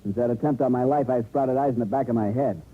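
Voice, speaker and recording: A thin vintage radio sound with faint noise. An actor plays a brash American man out for money, breezy and slangy, rattling along quickly at a medium pitch in a radio drama. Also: phone-quality sound, lightly acted. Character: brash, cocky, money-minded male promoter. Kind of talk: old-time radio drama acting.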